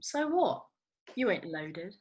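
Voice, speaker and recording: A woman's voice: lowish voice, very animated, slow pace, a little mellow. A woman reading the part of a feisty, blunt, working-class young woman in a comedy script, colloquial and mocking. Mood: teasing. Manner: colloquial, mocking, non-standard grammar. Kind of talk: table read of a comedy screenplay.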